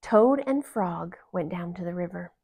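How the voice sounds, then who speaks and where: Slow-paced woman reading a children's story. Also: lowish voice, expressive, darkish tone. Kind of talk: expressive read-aloud narration. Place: living room, indoors on a couch.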